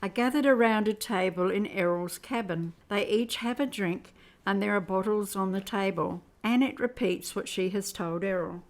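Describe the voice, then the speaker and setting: A woman's voice: mid-range voice, moderate pace. A woman reading scripted narration for an amateur radio play.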